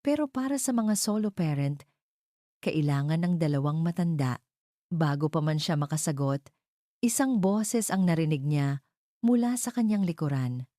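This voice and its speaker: A woman narrating a romantic drama in a measured, sentimental storytelling manner. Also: low-pitched, lively intonation, mellow, no room sound, studio quality.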